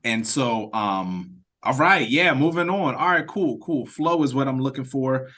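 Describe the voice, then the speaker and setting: A man leading a script read-through on a video call, talking casually and haltingly as he thinks aloud and restarts his sentences. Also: mid-range voice, expressive, a bit bright. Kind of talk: casual creative feedback discussion.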